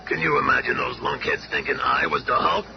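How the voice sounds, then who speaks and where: A gravelly, creaking tough-guy monster grumbles and brags in slangy style. It is a 1970s radio drama, muffled and clearly noisy. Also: medium pitch, very animated, fairly quick. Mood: indignant. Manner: blustering, slangy. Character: gruff, boastful rock-skinned monster hero, grumbling tough guy.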